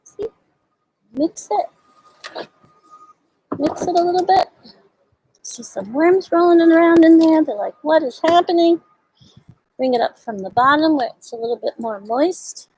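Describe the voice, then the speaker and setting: Slow, high-voiced woman hosting children's storytime. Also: expressive, darkish tone, rough recording. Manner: simple, child-directed explaining with questions. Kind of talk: show-and-tell and read-aloud for young children.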